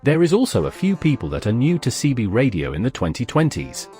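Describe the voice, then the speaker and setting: A man reading scripted documentary narration in a measured, explanatory way. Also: mid-range voice, wildly swooping pitch, music in the background.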